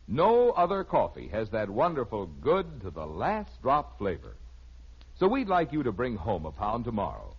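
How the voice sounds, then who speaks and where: A man's voice: medium pitch, husky. A man with an American accent delivering a folksy, persuasive 1950s radio commercial as an announcer. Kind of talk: 1950s radio advertising pitch. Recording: dull old radio recording.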